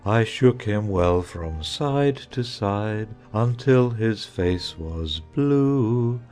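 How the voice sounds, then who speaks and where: An actor playing a dreamy, gentle knight who recites a nonsense ballad theatrically over music. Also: male voice, on the low side, lively intonation, drawn-out words. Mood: absent-minded. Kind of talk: slow theatrical verse recital.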